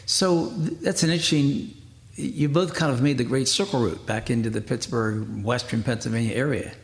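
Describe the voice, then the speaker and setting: A man hosting an interview, chatty and quick to laugh, asking his guests leading questions. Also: mid-range voice, vocal fry. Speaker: radio interview host. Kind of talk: conversational interview.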